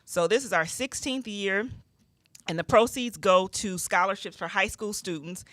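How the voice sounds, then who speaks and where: A woman's voice: medium pitch, normal speed, fairly bright tone, dry recording. A woman chatting casually on a radio show in a conversational, informative way.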